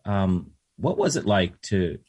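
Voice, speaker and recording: A man hosts an interview. His voice sits very low and sounds husky. He talks haltingly and fills his pauses with ums and uhs. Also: big pitch swings, darkish tone. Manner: halting, many filler sounds. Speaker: host.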